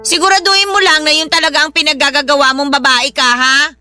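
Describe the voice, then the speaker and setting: A woman's voice: high-pitched, fairly even intonation, fairly quick, bright, forceful tone, faint music behind. A woman playing a hot-tempered, suspicious older mother-in-law in a radio drama, scolding bluntly and sarcastically with a Filipino accent. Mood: suspicious, nagging.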